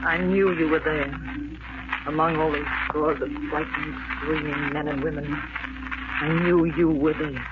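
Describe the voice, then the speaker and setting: An actress playing a yearning woman in love in a 1940s radio drama, with earnest, measured, short phrases. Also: sits low, steady tone, very noisy. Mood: wistful, intense. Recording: muffled old radio recording, loud music.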